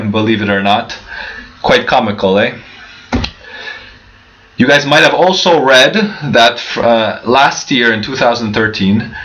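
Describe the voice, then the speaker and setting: Young man, keynote speaker, earnest and mid-pitched, over a muffled low-fi livestream. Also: a bit bright, a little hiss or hum. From Canada. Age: young adult. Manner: earnest, with frequent restarts and rhetorical questions. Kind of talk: prepared keynote speech. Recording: dull lo-fi livestream audio.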